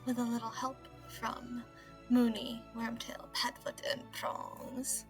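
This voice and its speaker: Highish cheeky schoolboy prankster lady acting, sly, upbeat, audio drama. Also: little pitch movement, slow pace, soft background music. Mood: mischievous.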